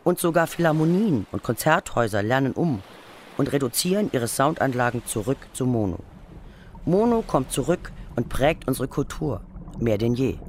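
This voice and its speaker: A man's voice: mid-range voice, lively intonation, moderate pace, slight background noise. A man reading scripted documentary narration for a radio feature.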